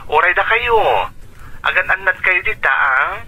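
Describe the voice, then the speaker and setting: A man's voice: high voice, expressive, unhurried, pushed and bright, slightly rough, exaggerated voice, poor audio. A man playing a cheerful, reassuring uncle calling from abroad in an Ilocano radio drama, through a telephone filter.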